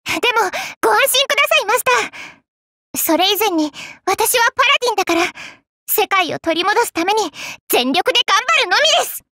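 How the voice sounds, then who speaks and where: Young knight girl, anime game, very animated, close dry sound, earnest, exclamatory, devoted fangirl. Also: extremely high pitch, fairly quick, no echo, full character voice. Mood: puzzled, determined. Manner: gushing, earnest, polite, exclamatory. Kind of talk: Japanese anime game character voice acting.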